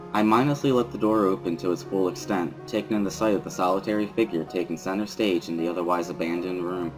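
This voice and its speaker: A man's voice: low-pitched, low-quality recording. A man giving a dramatic first-person reading of a visual novel as its narrator.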